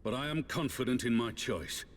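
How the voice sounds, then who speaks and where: An actor playing a resolute military leader in a fantasy game, speaking formally and with authority. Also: male voice, medium pitch, normal speed, natural voice. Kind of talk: fantasy video game voice acting. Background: orchestral game music with strings.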